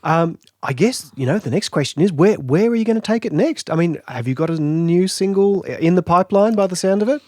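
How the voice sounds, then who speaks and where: Lively Australian host, dry studio. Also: male voice, high-pitched, dry recording. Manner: friendly, quick backchannels, animated questions. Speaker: podcast host. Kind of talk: casual interview chat. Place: small recording studio. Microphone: studio microphone.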